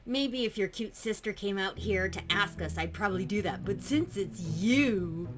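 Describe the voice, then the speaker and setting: A woman's voice: medium pitch, expressive, normal speed, over music, close to an everyday voice. A woman voicing a cocky, teasing teenage boy in a fairy tale. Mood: smug.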